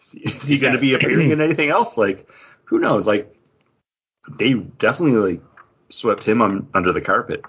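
A man hosting a podcast in a casual chat, rambling and halting, with "like" dropped in often. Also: medium pitch, expressive, fairly bright tone, breathy edge, dull audio. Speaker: podcast host.

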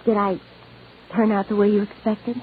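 A woman's voice: mid-range voice, little pitch movement, moderate pace, lightly acted. An actress playing a hesitant young woman meeting her supposed father, in 1940s radio drama style. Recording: noisy narrow-band old radio broadcast.

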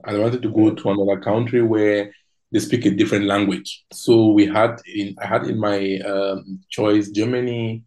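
A middle-aged man from Cameroon with a Cameroonian English accent, a podcast guest telling his life story thoughtfully, with pauses and restarts. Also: fairly low pitch, flat delivery, darkish tone. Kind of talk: interview conversation.

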